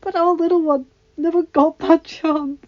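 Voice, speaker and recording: A woman voicing a tender, grieving young wife in an emotional, breathy, sobbing delivery for a story reading. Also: extremely high pitch, steady tone, measured pace, highs cut off, voice pushed up.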